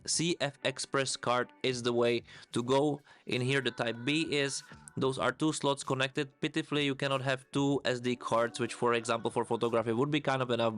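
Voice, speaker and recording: A man's voice: mid-range voice, fairly bright tone. A man, a photographer, enthusiastically reviewing a camera in casual, loosely phrased English. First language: Czech.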